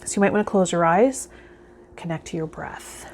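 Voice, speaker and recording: A woman hosting a spiritual vlog, explaining in a measured, reflective, encouraging way, like a calm guide. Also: on the low side, creaky, a little hiss or hum. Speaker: host of a tarot vlog. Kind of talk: calm guided explanation, part read, part informal.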